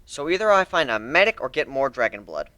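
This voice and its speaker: A man's voice: mid-range voice, very animated, fairly quick, bright, forceful tone, no echo. A man voicing a brooding, stubborn male griffin captain, grumbling earnestly in a dramatic story reading. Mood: defensive.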